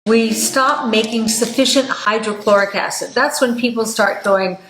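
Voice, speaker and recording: A woman's voice: a little high, normal speed. Older woman hosting in an echoey room. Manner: chatty, animated, with fillers. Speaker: podcast host. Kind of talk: casual health talk. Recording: echoey room with faint noise.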